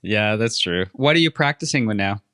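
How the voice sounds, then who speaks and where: Hombre, presentador de pódcast, voz brillante y tensa, charla animada y alentadora, sonido seco. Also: male voice, lowish voice, lively intonation, brisk, a bit bright, clear, resonant tone, no echo, studio quality. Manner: upbeat, chatty, encouraging interviewer. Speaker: podcast host. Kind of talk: casual interview chat.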